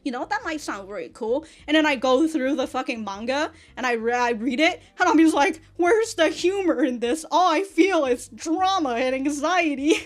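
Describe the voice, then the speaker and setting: A woman's voice: high voice, expressive, moderate pace. A woman giving a casual, unscripted review to viewers, giggly and rambling, often stammering through repeated false starts. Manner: giggly, often laughing as she talks. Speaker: anime reaction and review host.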